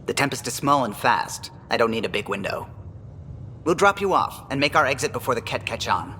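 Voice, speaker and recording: An actor playing a cautious, practical starship pilot in a video game. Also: male voice, medium pitch, animated, a little fast, bright, forceful tone, a little hiss or hum. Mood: matter-of-fact. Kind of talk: video game cinematic acting.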